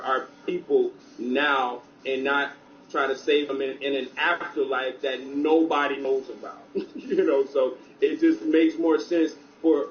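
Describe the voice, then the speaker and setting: A man speaking as a guest on an online talk show, loud and passionate, with many restarts. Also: medium pitch, dull audio.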